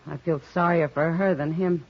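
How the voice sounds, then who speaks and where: A woman's voice: sits low, steady tone, moderate pace, breathy edge. An American actress playing a forthright, anxious, strong-willed frontier saloon woman in a 1950s radio western, direct and insistent. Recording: muffled old radio recording.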